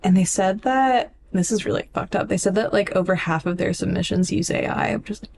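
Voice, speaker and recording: A woman's voice: medium pitch, a little fast, creaky, a little mellow. Casual sweary woman podcaster, noisy recording. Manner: informal, sweary, peppered with "like". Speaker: podcast co-host. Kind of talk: casual chat about books.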